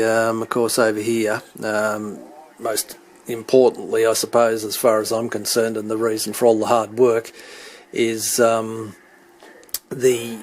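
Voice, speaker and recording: A middle-aged man giving an unscripted hobby demonstration, hesitant and halting as he searches for words. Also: fairly low pitch. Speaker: CB radio hobbyist demonstrating his equipment. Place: indoors at home beside radio equipment. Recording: clearly noisy home recording with radio static.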